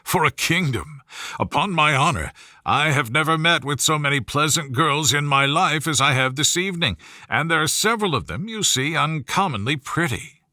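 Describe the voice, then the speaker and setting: A man voicing a cheerful, amiable young gentleman in a storybook reading, enthusiastic and exclamatory. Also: on the high side, animated, normal speed, dry recording, studio quality. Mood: eager admiration.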